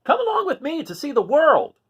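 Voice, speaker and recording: An eager little rooster crows out his invitations in a sharp, high squawk, as a man reads the picture book aloud. Loud and keen, he swoops well above the storyteller's usual register. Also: wildly swooping pitch, brisk, full character voice. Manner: bright, eager squawk, pitched far above his own voice. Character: eager, cheerful male rooster setting out on a trip.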